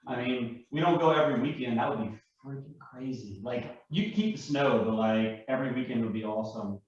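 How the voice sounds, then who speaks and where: A man's voice: mid-range voice, a little fast, a little mellow. Male hobbyist panel guest, casual storyteller, heavily echoing, muffled distant recording. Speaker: live action roleplay enthusiast, panel guest. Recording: very echoey room, distant mic, muffled.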